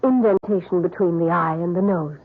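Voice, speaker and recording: An actress playing an earnest, genteel older millionairess and kindly philanthropist in an old-time radio drama, speaking theatrically. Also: female voice, lowish voice, a little fast, lightly acted. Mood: fond, proud. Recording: noisy, tinny old radio broadcast.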